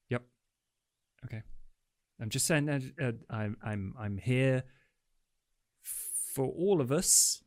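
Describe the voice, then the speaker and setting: A man's voice: medium pitch, drawn-out words, long pauses, darkish tone, no echo, clean studio sound. Sarcastic man role-playing a character. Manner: flippant, sarcastic. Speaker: player. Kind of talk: in-character roleplay.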